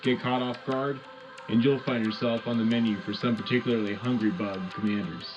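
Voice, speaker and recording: Wartime radio newsman, played by a male actor: folksy patriot, rallying, mid-pitched, lo-fi sound over music. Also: steady tone, a little fast, natural voice. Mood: rallying, confident. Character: upbeat propagandist male wartime radio news host. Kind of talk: scripted radio war bulletin.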